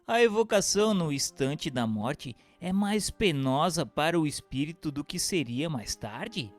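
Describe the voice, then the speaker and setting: A man with a slightly high voice narrates a scripted text with lively, swooping intonation. His delivery is formal and deliberate, carried in a long reverb with music underneath. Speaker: narrator reading questions and notes. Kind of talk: scripted narration of a spiritist book.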